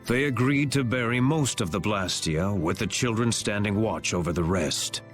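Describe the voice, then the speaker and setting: An actor playing a weary, cryptic sage in a fantasy game, speaking formally and deliberately with a superior air. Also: male voice, fairly low pitch, lively intonation, faint music behind, close to an everyday voice. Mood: lecturing, superior. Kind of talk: anime-style game voice acting.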